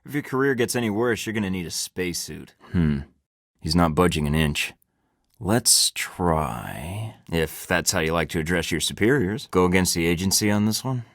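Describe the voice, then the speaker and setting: A man's voice: low-pitched, expressive, normal speed, a bit bright, dry recording. An actor with an American accent playing a sarcastic young male spy agent in a video game, trading dry, wisecracking quips. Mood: wry.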